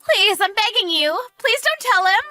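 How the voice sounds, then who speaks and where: A woman voicing a spiteful, greedy sister-in-law with a shrill, whiny manner in a narrated family drama. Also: sing-song, moderate pace, bright, forceful tone, no room sound, faint music behind, full character voice. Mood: panicky, pleading. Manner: shrill, indignant, pleading.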